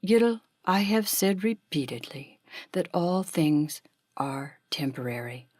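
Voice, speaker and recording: A patient female animal guide from a children's story, played by a woman. She speaks slowly and formally, gently admonishing, in a sensible and measured way. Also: low-pitched, animated, no room sound, studio quality, exaggerated voice. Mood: solemn.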